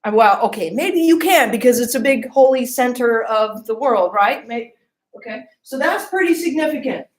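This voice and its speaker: A woman's voice: on the high side, lively intonation, moderate pace, echoey room. A woman giving a classroom lecture, often asking the class questions and checking in with "okay?" and "right?".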